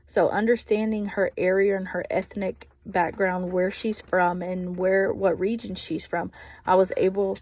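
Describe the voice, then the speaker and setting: Halting Texan woman with a steady tone. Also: mid-range voice. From Texas. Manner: thoughtful, halting, frequent ums. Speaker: ESL aide. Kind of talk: spoken reflection on a course video.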